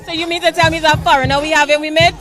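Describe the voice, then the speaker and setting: A Trinidadian woman interviews partygoers with a playful, teasing ease. Her voice sits high and sounds bright. A crowd murmurs and music plays behind her. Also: flat delivery, racing. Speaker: event reporter. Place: outdoor party.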